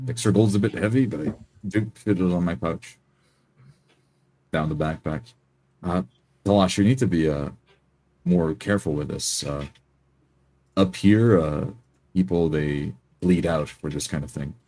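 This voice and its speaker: Very deep, mellow, hesitant male roleplayer. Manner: halting, hesitant. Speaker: tabletop roleplay player. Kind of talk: improvised first-person roleplay narration.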